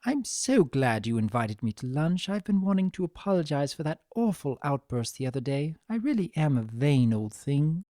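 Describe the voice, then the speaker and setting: A reader voicing a vain, refined fashionista pony mare with a genteel, dramatic and expressive delivery in a story. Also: mid-range voice, moderate pace, few pauses, dry recording, studio quality, close to an everyday voice. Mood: theatrical, playful.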